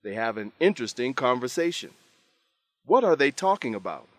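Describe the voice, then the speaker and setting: Animated male radio drama narrator. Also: mid-range voice, brisk, no echo. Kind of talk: scripted narration for English learners.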